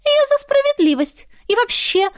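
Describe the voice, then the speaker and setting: A woman's voice: extremely high pitch, big pitch swings, normal speed, pitched above the performer's own voice. A woman voicing a small, bossy female spirit who talks in a businesslike, indignant way. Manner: businesslike, indignant, hissing when alarmed.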